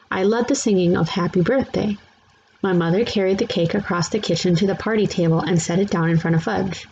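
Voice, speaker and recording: A woman's voice: lowish voice, dark tone. A woman narrating a children's book aloud as a scripted story, on a slightly dull, faintly noisy recording.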